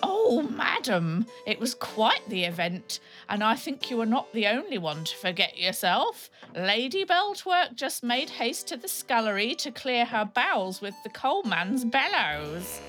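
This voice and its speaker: Gossipy lady's maid, slow and fussing, played by a woman; faint music under. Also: a little high, very animated, fairly bright tone, voice pushed up, rough recording. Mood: gossiping.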